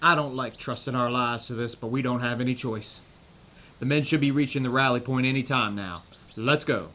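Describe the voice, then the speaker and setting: A man's voice: medium pitch, moderate pace, pushed and bright, lightly acted. Male actor as embattled US army major, audio drama, commanding, brusque, skeptical, dull recording with faint noise. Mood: grim, sarcastic. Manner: brusque, barking military orders.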